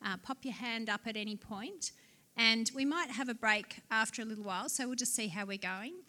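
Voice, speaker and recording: A woman with a somewhat high voice opens a small live seminar as host. She is friendly and a little hesitant, with plenty of fillers between phrases. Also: fairly quick, bright, forceful tone. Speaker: seminar host, assistant director. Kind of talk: live event introduction. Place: seminar room with a small audience.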